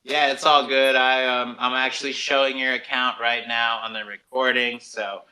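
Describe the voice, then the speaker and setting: Very bright, forceful-voiced male show host. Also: medium pitch, flat delivery, normal speed, rough recording. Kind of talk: interview hosting.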